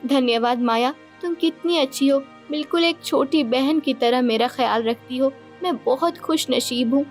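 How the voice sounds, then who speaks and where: Gentle, vulnerable queen for a moral-tale cartoon female narrator voicing her, very high pitch, faint music behind. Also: normal speed, lightly acted. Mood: grateful. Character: distressed, gentle pregnant queen. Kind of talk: cartoon folktale acting.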